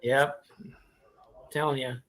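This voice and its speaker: A man's voice: mid-range voice, level tone, takes it slowly, a bit bright. An American man in his forties chatting casually and jokingly as a panelist on a group live chat. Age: 40s.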